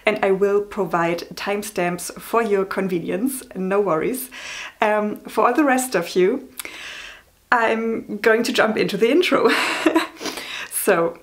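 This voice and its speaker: A woman's voice: mid-range voice, vocal fry. A woman in her thirties with a German accent, talking casually to camera in a vlog, chatty and a little apologetic, with frequent ums. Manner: chatty, apologetic, many ums and small laughs. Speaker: vlogger.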